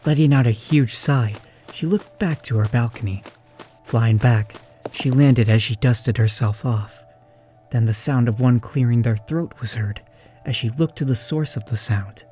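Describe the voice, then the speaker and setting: A man reads scripted story narration. His voice is soft-edged and warm in tone, sitting at an average male pitch. Music plays faintly behind him. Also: measured pace.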